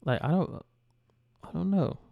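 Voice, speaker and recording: A man's voice: on the high side, expressive, a little slow, creaky, no room sound, phone-quality sound. A young American man hosting a reaction video, talking in a chatty, rambling and enthusiastic way. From United States. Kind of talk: casual reaction commentary.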